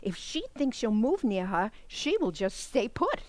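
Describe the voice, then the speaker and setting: A woman playing a bossy, sarcastic married sister in a comic radio play, with a brisk, emphatic delivery. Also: on the high side, sing-song, darkish tone, no echo. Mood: scheming. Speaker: actor in community theater. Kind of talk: comic radio theater acting.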